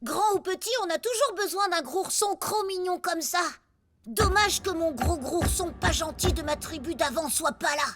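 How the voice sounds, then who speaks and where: A woman's voice: very high voice, normal speed, fairly bright tone, slight background noise. A woman playing a feisty, stubborn little prehistoric boy in a cartoon, earnest and exclamatory. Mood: determined, eager. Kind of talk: animated cartoon acting.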